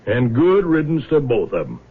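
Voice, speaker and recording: An actor playing a dry, stubborn, honest older man in a 1970s radio drama, speaking in a measured, sardonic way. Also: mid-range voice, huge pitch swings, husky, narrow, tinny audio. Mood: calm. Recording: thin, band-limited vintage radio recording.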